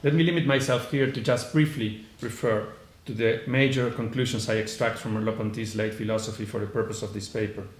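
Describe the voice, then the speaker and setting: A man's voice: fairly low pitch, fairly even intonation, a little fast, hardly any pauses. A man with a heavy Argentine accent presenting an academic paper at a conference, reading from notes with frequent "uh" fillers and false starts. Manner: hesitant, many "uh" fillers and restarts. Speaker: conference panelist. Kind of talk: academic lecture read from notes. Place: conference room. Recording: echoey room, faint background noise.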